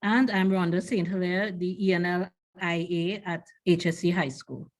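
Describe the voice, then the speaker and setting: A middle-aged woman from Trinidad and Tobago with a Trinidadian accent, an ENL assistant giving a composed, measured talk over a video call. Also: lowish voice, steady tone. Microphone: webcam on video call.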